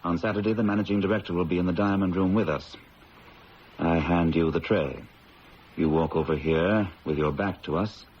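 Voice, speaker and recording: An actor playing a ruthless male diamond thief in a 1970s radio drama, speaking in a calm, measured, quietly menacing way. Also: deep voice, close to an everyday voice. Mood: commanding. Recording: lo-fi old radio broadcast with hiss.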